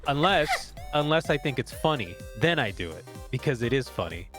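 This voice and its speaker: A man's voice: mid-range voice, sing-song, moderate pace, over loud music. A young man chatting casually on a stream, rambling with lots of fillers and restarts. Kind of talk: casual chat.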